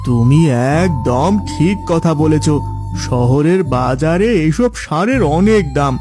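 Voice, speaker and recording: A man's voice: a little high, animated, slow pace, over music. A man voicing a kindly, helpful village vegetable farmer in a cartoon story, agreeable and earnest. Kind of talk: dramatised cartoon story dialogue.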